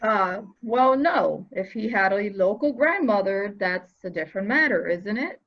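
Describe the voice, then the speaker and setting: A woman's voice: medium pitch, expressive, unhurried. A woman playing a dour, opinionated subordinate police officer with a folksy way of talking, in a dramatic reading of a murder mystery. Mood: suspicious. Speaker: actor in a library's dramatic reading. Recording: slightly dull, lo-fi audio.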